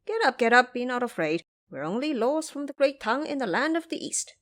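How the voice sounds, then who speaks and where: A woman playing a brash, high-pitched monkey trickster. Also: big pitch swings, fairly quick, a bit bright. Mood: boastful. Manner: brash, taunting. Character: cheeky, boastful, irreverent male monkey disciple.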